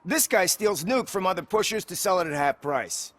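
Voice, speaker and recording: A man's voice: sits high, animated, normal speed, fairly bright tone. A man playing a plain-spoken, streetwise character in a crime video game, insistent and matter-of-fact.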